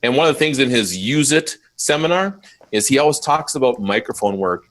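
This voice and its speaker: A man who runs a mobile DJ company, chatting in a casual live interview and telling stories with lively jumps in his voice. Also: medium pitch, a little fast. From Minnesota, USA. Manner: animated storyteller, voice jumps up when quoting.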